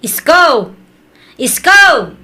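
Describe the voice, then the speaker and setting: A woman with an Ilocano accent playing a busy, concerned village wife in an amateur audio drama, speaking in a brisk, instructing way. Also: sits high, big pitch swings, pushed and bright, exaggerated voice. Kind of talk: amateur radio-style drama acting.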